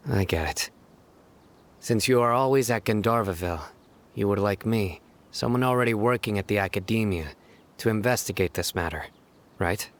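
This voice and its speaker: A man's voice: on the low side, moderate pace, long pauses, slight background noise, natural voice. A man playing a stern, guarded young officer of justice in a video game, speaking in a serious, controlled, terse manner. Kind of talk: scripted video game cutscene acting. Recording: faint music behind the voice.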